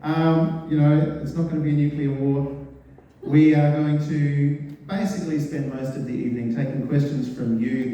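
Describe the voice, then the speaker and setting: A man hosting a public forum in a town hall, introducing the guests in a relaxed, friendly way. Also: mid-range voice. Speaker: forum host. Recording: echoey hall from far off.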